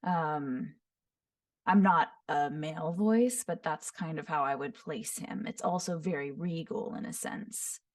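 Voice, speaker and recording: A woman voice actor teaching voice impressions, describing each voice in short phrases and often breaking into quick character demos. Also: sits low. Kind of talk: voice impression tutorial. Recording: voice somewhat far from the mic.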